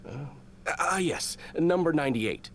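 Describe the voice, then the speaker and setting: Animated, officious young-sounding soldier. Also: male voice, a little high. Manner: formal, bureaucratic, deliberate. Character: dutiful, officious soldier, earnest rule-follower. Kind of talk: anime video game voice acting.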